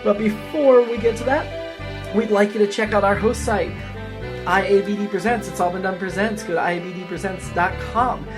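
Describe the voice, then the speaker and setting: A woman's voice: medium pitch, steady tone, moderate pace. A woman podcast announcer delivering a scripted promotional introduction. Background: music.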